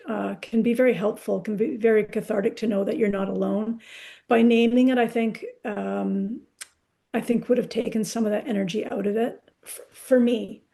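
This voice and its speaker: A woman's voice: fairly high pitch, gravelly, warm tone. A woman in her sixties, a poet answering interview questions in a candid, reflective, conversational way. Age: 60s.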